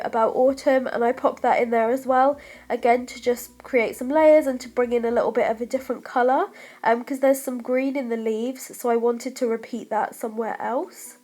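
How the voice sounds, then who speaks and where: A young woman from the UK talks through a craft voice-over with a thick head cold, her voice stuffy and slightly breathless. She chats in a friendly, apologetic way with plenty of ums, and her pitch sits a touch high. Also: a little mellow. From United Kingdom. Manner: chatty, apologetic, lots of ums. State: congested from a cold, stuffy nose; short of breath, voice fading. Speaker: craft vlogger. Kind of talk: scrapbooking process voice-over.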